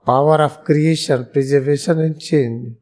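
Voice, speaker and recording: An Indian-accented man guides a meditation as a yoga teacher. His voice sits a little high for a man, and he speaks extremely slowly. The phrasing is solemn and deliberate, with pauses between short groups of words. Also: steady tone, a little mellow. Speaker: yoga guru guiding meditation.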